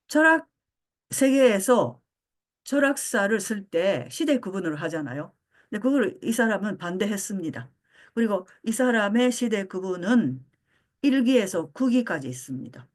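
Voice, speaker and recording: An older woman born in Japan giving a philosophy lecture, pausing often with fillers as she searches for words. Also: medium pitch, big pitch swings. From Japan (Osaka). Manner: frequent hesitation fillers, halting.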